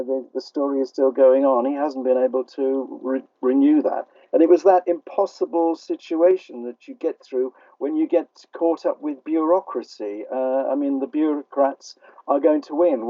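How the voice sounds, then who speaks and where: A man's voice: medium pitch, dry recording. Older British man on a dull line. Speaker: playwright, interview guest. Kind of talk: conversational interview. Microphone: remote call line. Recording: dull, band-limited call line.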